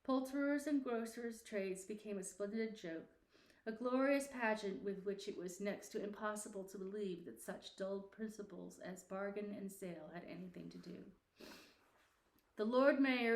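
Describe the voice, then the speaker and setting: A woman reading a classic story aloud as narrator, with expressive, theatrical, deliberate phrasing. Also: medium pitch, echoey room, rough recording. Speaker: audiobook narrator.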